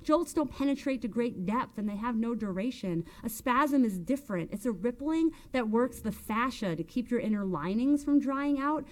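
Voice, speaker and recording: A woman's voice: mid-range voice, lively intonation, normal speed, darkish tone, natural voice. A woman narrator plays a domineering, bitter woman in an audiobook. She scolds and harangues with emphatic force. Her questions come as accusations, leaving little room for reply. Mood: insistent, haranguing. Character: bitter, hectoring, domineering older woman berating Melba by phone.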